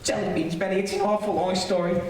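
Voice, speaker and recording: A woman's voice: medium pitch, steady tone, quick pace, a little mellow, natural voice. A woman playing an awkward, rambling teenage boy in old-time radio comedy style. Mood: worried, rambling. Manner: rambling, gee-whiz slang. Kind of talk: 1940s radio comedy acting. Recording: very echoey, distant, clear noise.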